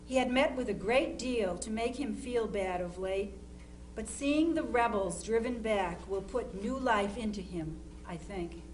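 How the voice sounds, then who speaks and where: Woman reading as a slow-spoken, loving mother. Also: medium pitch, lightly acted, low-quality recording. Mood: tender concern. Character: loving, gently worried mother writing to her soldier son. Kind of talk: dramatic reading of Civil War letters. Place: live historical society presentation. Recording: faint hum and background noise.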